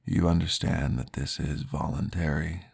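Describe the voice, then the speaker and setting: A man voicing a calm, formal male vampire therapist in an ASMR roleplay, speaking in a measured, reassuring way. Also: a bass voice, creaky, slightly rough, exaggerated voice.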